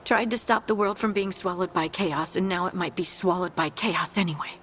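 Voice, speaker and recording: A woman's voice: lowish voice, steady tone, fairly quick, a bit bright, slight background noise, natural voice. An actress playing an earnest, plain-spoken and determined young woman scout in a fantasy game. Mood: frustrated, self-blaming. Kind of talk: fantasy video game voice acting.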